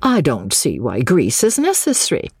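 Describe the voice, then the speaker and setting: Fussy middle-aged mother, voiced by a woman narrator, with swooping pitch. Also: wildly swooping pitch, normal speed, darkish tone, slightly rough, no echo, studio quality, full character voice. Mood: grumbling, conceding.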